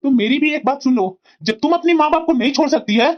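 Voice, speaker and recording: A woman's voice: high-pitched, expressive, quick pace. A woman voicing an earnest young husband and dutiful son in a cartoon, talking in a firm, lecturing way. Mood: stern. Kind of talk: cartoon voice acting. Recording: dull lo-fi recording.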